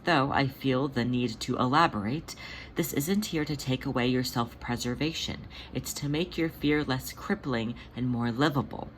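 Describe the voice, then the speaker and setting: A reader voicing a gentle older female cat in an audio story, reassuring others in a soothing, measured way. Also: medium pitch, slight background noise, close to an everyday voice, low-quality recording.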